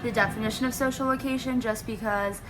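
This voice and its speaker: A woman's voice: a little high, steady tone, fast talker, noisy background. A nineteen-year-old woman casually explaining a class project, with lots of filler words. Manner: rambling, heavy use of "like" fillers. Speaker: student presenting a class project.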